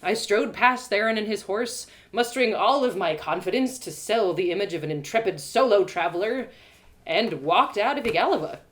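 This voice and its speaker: A woman narrating her own fantasy audio drama in first person, animated and bubbly, with excited exclamations and hushed asides. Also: medium pitch, normal speed. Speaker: storyteller and host.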